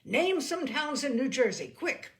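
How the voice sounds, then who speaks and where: A woman's voice: high-pitched, very animated, measured pace, voice pushed up. A woman voicing a restless young son, urgent and snappish, in a humorous story. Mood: irritable. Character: restless, irritable young son telling off his father.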